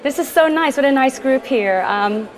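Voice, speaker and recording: A woman's voice: high-pitched, expressive, moderate pace. Chatty woman in an echoey store. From Trinidad. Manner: chatty and upbeat, jokes with the crowd. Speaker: chef giving a cooking demonstration. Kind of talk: live in-store cooking demo to an audience. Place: department store demo kitchen.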